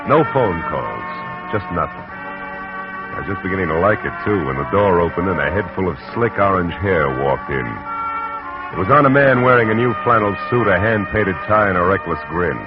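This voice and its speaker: A man's voice: sits low, lively intonation, moderate pace, over music. A man playing a hard-boiled private detective who narrates in a terse, wry way in an old radio drama. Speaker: narrator and lead. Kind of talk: 1940s radio acting. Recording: muffled old radio recording with loud hiss.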